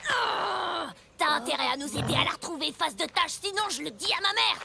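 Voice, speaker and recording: A woman playing a bratty, mocking, bossy young child in an animated children's cartoon. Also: very high-pitched, brisk, creaky, heavily acted. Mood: angry, bossy. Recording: music behind the voice.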